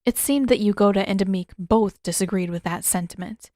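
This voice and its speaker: A woman's voice: mid-range voice, a little fast, mellow, no room sound. A woman reading fiction aloud as a scripted narrator, close to the mic.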